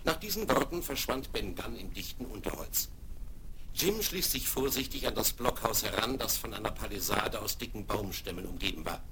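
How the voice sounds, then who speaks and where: A man narrating a 1970s radio play for children in a measured, even way, from an old vinyl record. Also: on the low side, heavy background noise. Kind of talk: scripted storybook narration.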